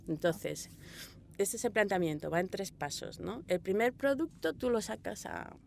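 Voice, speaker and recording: A woman with an accent from Spain, an audio fiction director telling rambling, animated stories in a casual interview. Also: medium pitch, normal speed, vocal fry, a little hiss or hum. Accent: Spanish (Spain). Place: small recording booth.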